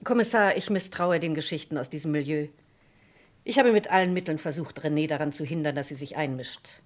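A woman's voice: sits low, brisk, close to an everyday voice. An actress playing a guarded, reluctant lady who defends her friend under questioning in a German radio crime drama, speaking formally and earnestly.

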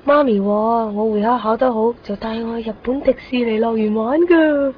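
A woman playing a high-voiced, sighing teenage girl. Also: normal speed, slight background noise, natural voice. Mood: casual, blunt. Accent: Hong Kong Cantonese. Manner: matter-of-fact, sighing. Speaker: radio drama actor. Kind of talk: 1990s Cantonese radio drama dialogue. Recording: dull lo-fi radio recording.

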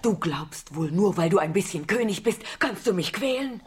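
A put-upon royal wife in a children's radio play. Her voice is very raspy and rough, mid-pitched, with exasperated, theatrical complaining. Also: lively intonation, normal speed. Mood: exasperated, indignant. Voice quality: husky, rough.

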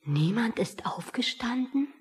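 A woman's voice: fairly high pitch, expressive, unhurried, slightly rough, full character voice. A woman acting a questioning, wry character in a radio play, with a probing, dry way of talking. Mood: wry, doubtful. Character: questioning, wry woman waiting outside a meeting.

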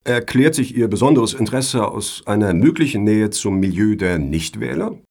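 An actor as a formal, probing man on a selection panel in a radio satire. He questions in a lowish voice with very mobile intonation. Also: lively intonation, moderate pace, creaky, darkish tone. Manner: formal, inquisitorial. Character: probing, formal male foundation board member on selection panel. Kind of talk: satirical radio play dialogue.

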